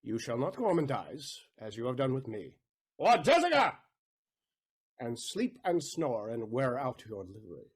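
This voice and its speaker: A man's voice: medium pitch, huge pitch swings, unhurried, breathy edge, exaggerated voice. A man playing a bitter, suspicious old miserly father, a moneylender, in a Shakespearean audio play. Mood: irritated.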